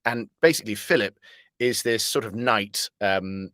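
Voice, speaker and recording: Man, lower-pitched, casual chat, rambling, jovial, hesitant phrasing, very dry recording. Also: normal speed, a bit bright, no echo. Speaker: voice actor, interview guest. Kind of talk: casual interview storytelling.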